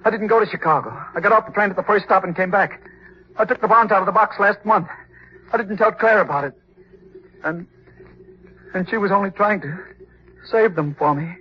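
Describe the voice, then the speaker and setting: An actor playing a frightened, guilty wife-killer in an old radio crime drama, halting and desperate. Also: male voice, high-pitched, slight background noise, lightly acted. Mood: shocked, confessing. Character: frightened, guilty husband who murdered his wife. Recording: dull lo-fi old radio recording.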